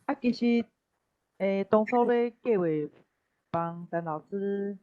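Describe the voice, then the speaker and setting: A woman's voice: mid-range voice, takes it slowly, slight background noise. A woman with a Taiwanese accent presenting informally as a guest, over a dull online connection. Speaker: guest speaker at literary forum.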